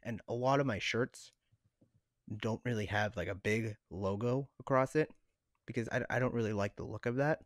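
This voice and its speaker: A man's voice: medium pitch, moderate pace, no room sound, studio quality. A man chatting casually as a podcast co-host, with a hesitant, conversational way of talking. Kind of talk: casual chat.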